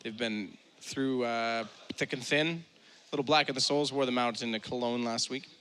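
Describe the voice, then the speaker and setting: A man's voice: normal speed, fairly bright tone. A young man doing joking color commentary on an esports match, speaking into a headset mic in a studio. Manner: joking, climbs in pitch on big plays. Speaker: esports co-caster. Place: studio set with gaming stations.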